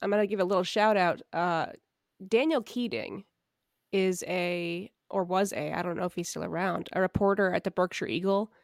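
A woman's voice: fairly low pitch, normal speed, gravelly, no room sound, studio quality. A woman co-hosting a podcast in casual chat, sick and a little nasal and scratchy, wry and self-mocking with frequent laughs. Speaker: podcast co-host.

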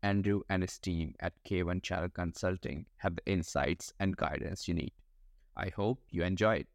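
A male podcast host who asks his guest brisk, upbeat questions. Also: low voice, creaky, dry recording, studio quality. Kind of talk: podcast interview.

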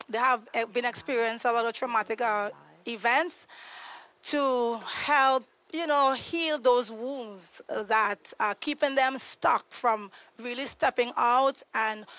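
A woman from Trinidad and Tobago, a podcast guest explaining trauma in an earnest, conversational way, pausing to search for words. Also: a little high, a bit bright, poor audio. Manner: earnest, searching for words, frequent ums and restarts.

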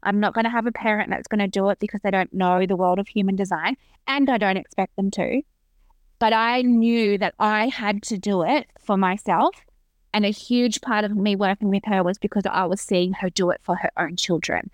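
A woman's voice: mid-range voice, fairly even intonation, moderate pace, dry recording. Earnest woman in her thirties, dry sound. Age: 30s. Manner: earnest, reflective, with frequent restarts. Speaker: guest. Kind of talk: casual interview conversation.

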